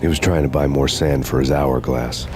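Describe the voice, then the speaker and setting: An actor playing a grim, world-weary, vengeful hard-boiled narrator in a noir game, brooding and deadpan. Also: male voice, a bass voice, moderate pace, soft background music. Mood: wry, cold.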